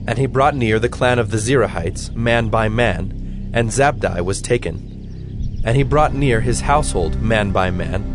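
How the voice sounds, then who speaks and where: A man narrating a dramatized scripture reading in a measured, solemn, scripted style. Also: lowish voice, over music.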